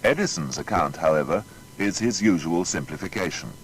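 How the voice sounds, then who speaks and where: A man narrating a scripted biography as a measured storyteller. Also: low voice, animated, slight background noise.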